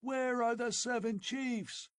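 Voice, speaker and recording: Shrieky furious old chief, way up high, loud and frothing. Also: male voice, expressive, measured pace, no echo. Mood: furious accusing. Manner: shrill, accusing, frenzied shouting.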